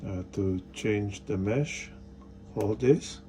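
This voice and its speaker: A man's voice: fairly low pitch, takes it slowly, dark tone. A man casually narrating a hands-on installation tutorial, step by step in short instructional phrases. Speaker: product installation demonstrator. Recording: faint background noise.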